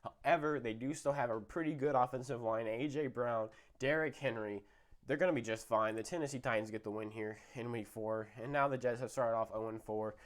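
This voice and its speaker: A man's voice: medium pitch, brisk. Slightly sick young man rambling. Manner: rambling, self-correcting, lots of fillers. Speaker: sports video host. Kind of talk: casual football predictions.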